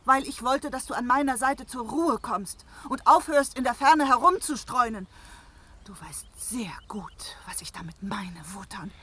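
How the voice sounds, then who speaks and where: An actress playing a jealous, sharp-tongued goddess wife who scolds reproachfully in a German fantasy radio drama. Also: female voice, a little high, lively intonation, measured pace, a little hiss or hum. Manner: reproachful, biting.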